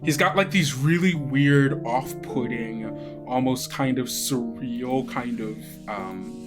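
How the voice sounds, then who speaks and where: A game master improvising narration for a tabletop actual play, haltingly and searching for words. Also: mid-range voice. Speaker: game master and podcast host. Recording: music under the voice. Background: plucked guitar music.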